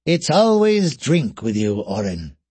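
A man's voice: a little high, sing-song, slow pace, dry recording, voice pushed up, exaggerated voice. A man voicing a small, whiskered male creature who moans and grumbles in an audiobook story. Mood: moaning. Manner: moaning, complaining.

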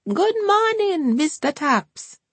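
A woman's voice: high voice, big pitch swings, slow, no echo, pitched above the performer's own voice, exaggerated voice. A woman voicing a nervous, devout elderly grandmother with an eager, sing-song way of talking. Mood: cheerful.

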